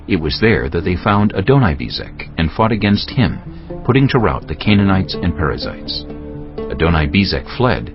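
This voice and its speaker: A man narrating scripture from a script in a measured, solemn storytelling manner. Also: deep voice. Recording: dull lo-fi, music behind. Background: music.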